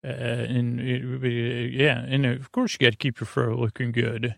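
A man with a creaky, gravelly voice at a middling pitch hosts a bedtime podcast. He meanders from tangent to tangent, stumbling and restarting, with plenty of ums. The sound is dry and close, with no room echo. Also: level tone. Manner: meandering, halting, with many ums, stammers and tangents. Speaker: podcast host. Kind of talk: rambling bedtime monologue to help listeners sleep.

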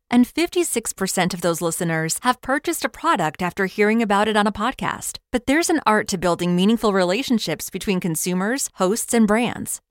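A woman's voice: medium pitch, no room sound, clean studio sound. An American woman reading a scripted commercial as a polished, promotional announcer. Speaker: advertisement announcer.

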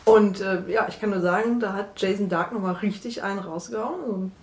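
A woman with a mid-range voice answers informally in an interview as an editor. Her delivery is spontaneous and conversational. The recording has a clear room echo. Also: medium pitch, normal speed, darkish tone. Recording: echoey room.

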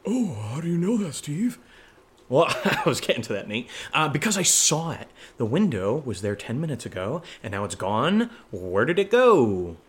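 A man's voice: mid-range voice, sing-song, moderate pace, close to an everyday voice. An actor playing a nervous young man who rambles and stammers through a spooky story in a horror audio drama. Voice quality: hesitant, stammering, rambling with many fillers.

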